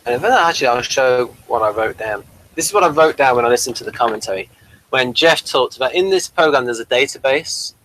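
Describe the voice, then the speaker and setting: A man hosting a casual review show, explaining things in a halting, conversational way. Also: mid-range voice, a bit bright, rough recording.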